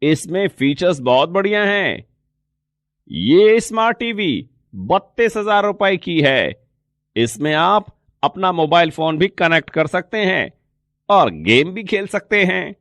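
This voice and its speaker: Man as showroom salesman, polite, eager, explanatory, highish voice, animated melody, story dialogue. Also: takes it slowly, dry recording, clean studio sound, full character voice. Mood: polite, persuasive. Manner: courteous, explanatory sales patter. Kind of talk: Hindi moral story dialogue.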